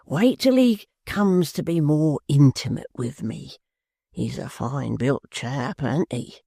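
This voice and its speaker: A man's voice: on the high side, slow, vocal fry, dark tone, husky, no room sound, clean studio sound, heavily acted. A man putting on a wheezing, gleeful old hangman. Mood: hoarse whisper.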